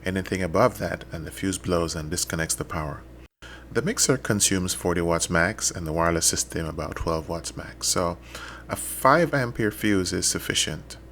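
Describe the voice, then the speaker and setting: A man's voice: sits low, normal speed, a bit bright, a little hiss or hum. A man giving a scripted tutorial narration in a methodical, explanatory way. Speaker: instructor and DJ.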